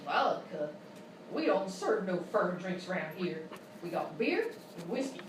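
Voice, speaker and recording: A woman's voice: lowish voice, big pitch swings, a little slow. A woman playing a folksy, sassy Old West saloon keeper with a Western American accent in live radio-style comedy. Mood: brusque. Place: live performance in a hotel venue. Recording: noisy, roomy live stage, distant mic.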